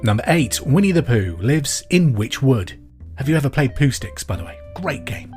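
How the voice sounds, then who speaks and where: A man's voice: medium pitch, big pitch swings, moderate pace, a little mellow. An Englishman presenting a pub quiz podcast in a chatty, conversational way, as the host. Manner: conversational, clearly repeats questions. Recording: music behind the voice. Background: music.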